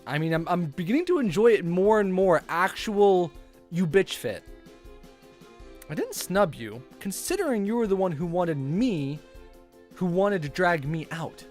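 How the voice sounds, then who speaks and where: Female streamer, lively swooping delivery, casual read-aloud with commentary over faint game music. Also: fairly low pitch, a little slow. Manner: chatty, reacts to the story aloud.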